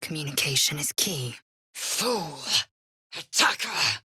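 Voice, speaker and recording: A woman playing a proud, fierce githyanki warrior in a video game, with a husky, raspy voice and a clipped, formal, commanding way of talking. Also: sits low, animated, takes it slowly, bright, forceful tone, no room sound, studio quality, exaggerated voice. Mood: scornful. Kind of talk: video game character voice lines.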